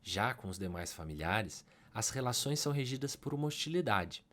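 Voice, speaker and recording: A man with a Brazilian Portuguese accent narrating a scripted literary analysis in a measured, academic way, with emphases that rise on key ideas. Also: on the low side, expressive, fairly bright tone.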